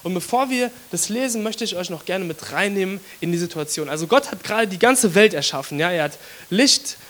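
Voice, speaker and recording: A man with a hoarse voice from a cold, giving a casual, chatty sermon to his congregation with many fillers. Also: high-pitched, lively intonation, brisk, a bit bright. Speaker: preacher.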